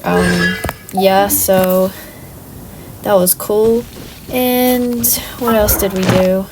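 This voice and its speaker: A woman's voice: medium pitch, fairly even intonation, drawn-out words, noisy background. A woman with a sore throat, sick, hesitantly talking through a video game with many ums and half-finished restarts. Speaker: gaming channel host. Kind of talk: casual let's-play commentary. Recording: voice over game music.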